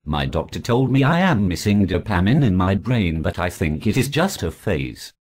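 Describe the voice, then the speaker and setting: A man's voice: low voice, big pitch swings, normal speed, a little mellow, slightly rough, heavily acted. A man playing a twitchy, dismissive dwarf in an amateur fairytale, with a jerky manner. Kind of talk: amateur storybook acting.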